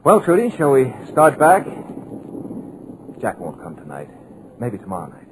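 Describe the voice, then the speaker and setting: An actor playing a scriptwriter who muses aloud with folksy, wry asides, in 1940s radio drama style. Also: male voice, mid-range voice, huge pitch swings, moderate pace, background noise. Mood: uneasy. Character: wisecracking young male scriptwriter, nervous underneath.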